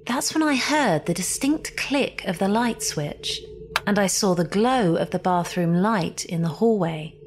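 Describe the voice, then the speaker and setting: A woman reading a scripted ghost story as a horror podcast narrator, theatrical and suspenseful, with deliberate emphasis. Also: medium pitch, animated. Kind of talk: scripted dramatic spooky narration. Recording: music behind the voice.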